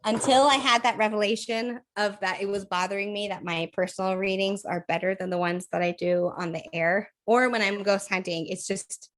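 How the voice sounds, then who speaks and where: A woman co-hosting a podcast in casual chat, thinking aloud with fillers and frequent laughs, her voice a little croaky. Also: mid-range voice, steady tone, normal speed, few pauses. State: slightly croaky voice. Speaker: podcast co-host.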